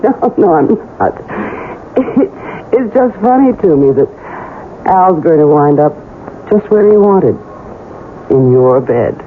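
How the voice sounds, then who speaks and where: A woman's voice: deep voice, expressive, slow pace, long pauses. A woman playing a cold, scheming, murderous wife in a theatrical 1980s radio drama style. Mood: cold, gloating. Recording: noisy, muffled old radio broadcast.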